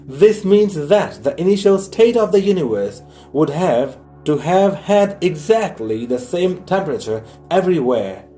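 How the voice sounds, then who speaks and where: A man reading a science audiobook aloud in a measured, explanatory way. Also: high voice, expressive, warm tone, faint music behind. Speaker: audiobook reader. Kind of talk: scripted narration, reading a science book aloud.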